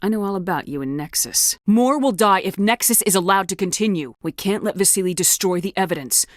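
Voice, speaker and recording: A woman's voice: mid-range voice, expressive, brisk, no room sound, clean studio sound, close to an everyday voice. A woman playing a tough, decisive space soldier in a video game, speaking with firm, clipped authority. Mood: threatening, commanding.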